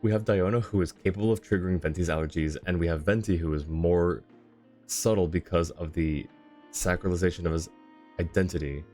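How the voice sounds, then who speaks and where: A man livestreaming a game, casually commenting and joking with viewers in a halting, self-interrupting way. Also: deep voice, steady tone, dark tone, faint music behind.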